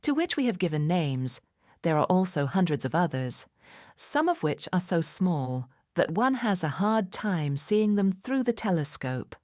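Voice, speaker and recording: A woman narrating a children's storybook in a measured, clearly phrased, expressive bedtime-story manner. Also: lowish voice, no echo, studio quality. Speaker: audiobook narrator.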